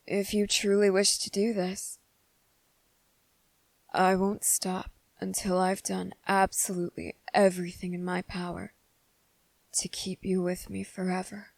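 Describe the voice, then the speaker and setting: A woman voicing a young, devoted and possessive male vampire in an ASMR roleplay. She speaks slowly and softly, close to the microphone, and slips into whispers. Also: medium pitch, completely flat delivery, gravelly, no echo. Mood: tender, grave. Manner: slow, soft-spoken and intimate, slipping into whispers.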